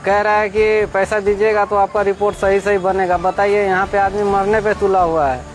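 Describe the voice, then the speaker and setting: A man's voice: sits high, flat delivery, moderate pace, poor audio. A man with a Bihari accent talking in a plaintive, repetitive way, explaining and pleading. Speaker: complainant, patient's relative. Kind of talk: pleading phone talk and interview account. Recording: background music over recorded speech.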